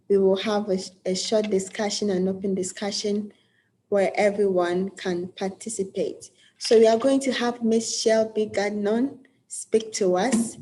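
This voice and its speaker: A woman hosts an online panel, reading a speaker's bio in a formal way. Her voice is mellow and flat in tone, with faint background hiss. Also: mid-range voice, rough recording. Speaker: moderator. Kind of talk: introducing speakers. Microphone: video call.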